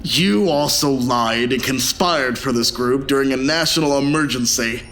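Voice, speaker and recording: A man playing a stern ship's captain in an audio drama, grave, measured and commanding. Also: mid-range voice, a bit bright, natural voice. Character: stern, authoritative male military ship captain.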